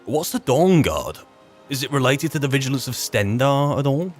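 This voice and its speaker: A man's voice: mid-range voice, very animated, normal speed, soft background music. A man hosting a gaming video, improvising roleplay commentary in a wry, musing and rambling manner.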